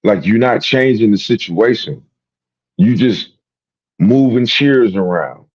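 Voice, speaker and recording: A man's voice: on the low side, expressive, takes it slowly, breathy edge, highs cut off. A man hosting a sports talk show, rambling and repeating himself in casual, profane commentary. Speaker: sports podcast host.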